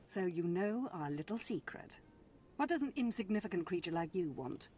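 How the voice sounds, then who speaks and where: A woman acting a low, haughty, scheming noblewoman. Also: lively intonation, normal speed, dark tone, slight background noise, close to an everyday voice. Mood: disdainful. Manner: aristocratic, disdainful, drawling endearments. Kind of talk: video game voice acting.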